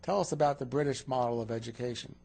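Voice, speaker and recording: A man's voice: medium pitch, fast, creaky, a little mellow. A man interviewing a client in a counseling session, asking short questions and repeating her answers back to check them.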